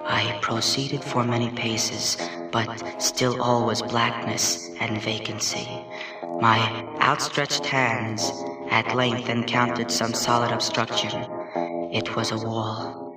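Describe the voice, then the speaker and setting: A man giving a dramatic reading of a horror story, with a deliberate, anguished, theatrical delivery. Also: mid-range voice, little pitch movement. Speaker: story narrator. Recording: roomy, distant vintage recording with music. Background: prominent music.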